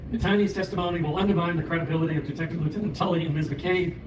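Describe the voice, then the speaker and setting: Hoarse man arguing in an echoey courtroom. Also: fairly high pitch, steady tone, noisy background. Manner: measured, many hesitations and throat clearing. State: losing his voice. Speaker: special prosecutor arguing in court. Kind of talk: formal courtroom argument. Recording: roomy courtroom audio from a distant mic.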